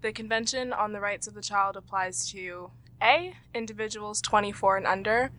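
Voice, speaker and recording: A woman with a Canadian accent and a bright, forward voice at an average pitch. She speaks as a host, presenting to listeners and guiding a group. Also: normal speed, rough recording. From Toronto, Canada. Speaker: host and quizmaster. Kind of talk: presenting a quiz and leading a group discussion.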